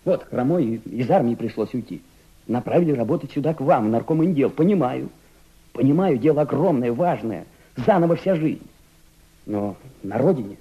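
Husky, earnest young veteran. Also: male voice, mid-range voice, animated, muffled recording. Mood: earnest, subdued. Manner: earnest, questioning, halting. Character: earnest, doubting twenty-five-year-old lame Red Army veteran. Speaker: actor in an audio play. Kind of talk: Soviet-style radio drama acting.

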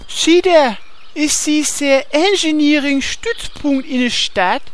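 A woman playing a naive, excitable fantasy hero in a comedy audio drama, talking in a drawn-out, colloquial way. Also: sits high. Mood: startled, curious. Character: naive excitable fantasy hero who dotes on a riding ram.

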